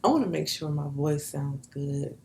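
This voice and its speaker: A woman guest with a husky voice, playful and animated in sassy banter. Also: deep voice, normal speed, slight background noise. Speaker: podcast guest. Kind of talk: casual chat.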